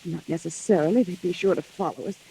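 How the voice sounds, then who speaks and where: A woman's voice: fairly low pitch, quick pace, gravelly, warm tone, breathy edge, full character voice. An actress playing a frightened, anxious young woman in a melodramatic 1940s radio mystery. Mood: anxious, urgent. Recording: old muffled 1940s radio recording.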